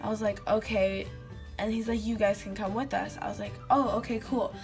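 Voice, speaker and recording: A teenage girl vlogging casually to a webcam in her bedroom, telling stories with lots of fillers and giggles, her voice slightly hoarse from having lost it. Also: medium pitch, fairly even intonation, fairly quick, music in the background. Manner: chatty, giggly storytelling with many fillers. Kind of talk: casual chat to camera. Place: small bedroom indoors.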